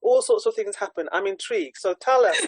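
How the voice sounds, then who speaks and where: A woman's voice: mid-range voice, brisk, darkish tone, breathy edge, no room sound. Encouraging woman host, dry close sound. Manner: affirming, encouraging, a little preachy. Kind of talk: interview with preaching asides.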